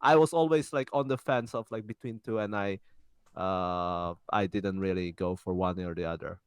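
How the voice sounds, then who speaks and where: Smooth-voiced young man, halting speech. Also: low-pitched, dry recording. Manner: halting, frequent restarts and uh fillers. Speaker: podcast co-host. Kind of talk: casual conversational chat.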